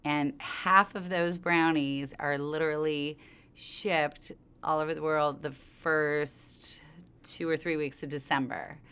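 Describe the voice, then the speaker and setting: Female co-founder, interview guest: low register, clean resonant tone, informal and discursive delivery. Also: fairly even intonation, takes it slowly, fairly bright tone, smooth. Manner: informal, rambling, circles back mid-thought. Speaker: company co-founder being interviewed. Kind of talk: conversational interview storytelling.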